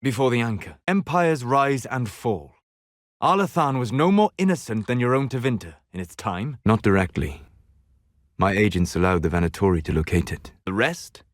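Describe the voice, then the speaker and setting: A scholarly male elven mage from a video game, acted by a man. He is melancholy and self-possessed, choosing formal words with deliberate care. The sound is dry, with no room around it. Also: mid-range voice, lively intonation, dry recording, lightly acted. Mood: grave, measured. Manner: measured, deliberate, formal phrasing. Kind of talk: scripted video game character dialogue.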